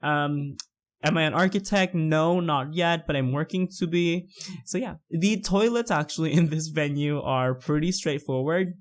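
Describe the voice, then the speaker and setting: Twenties man from the Philippines, casual rambling voice-over, a touch high-pitched. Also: normal speed. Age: 20s. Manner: chatty, rambling, many fillers. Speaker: YouTuber narrating a build.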